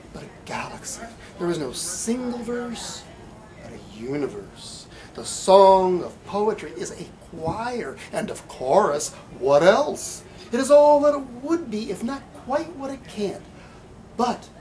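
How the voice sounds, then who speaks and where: A man giving a live poetry reading in a bookstore, with halting, deliberate delivery, in an amateur recording. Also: high voice, a little hiss or hum. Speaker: poet. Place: bookstore reading event, indoors.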